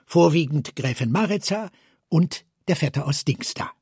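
A man's voice: fairly high pitch, animated, normal speed, a little mellow, slightly rough, no room sound, studio quality. A man reading literary narration in the manner of a radio story.

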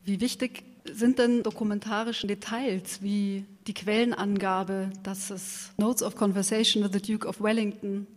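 A woman moderating an interview, putting prepared questions in careful, measured phrases. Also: mid-range voice, level tone. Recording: reverberant hall.

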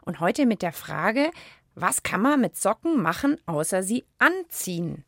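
A woman hosting a children's radio show in a playful, casual and animated way. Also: medium pitch, measured pace, no echo, clean studio sound. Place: dry radio studio.

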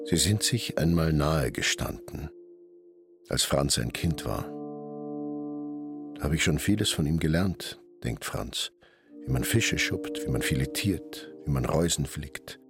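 A man's voice: very deep voice, vocal fry, full character voice. An actor playing a brooding, plain-spoken hairdresser, a fisherman's son, in a reflective radio drama that mixes narration and inner monologue. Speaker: actor who also narrates his figure.

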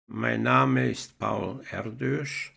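An older man from Hungary with a Hungarian accent, speaking in a halting, careful way. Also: mid-range voice, fairly even intonation, creaky, bright, forceful tone, slightly rough. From Budapest, Hungary.

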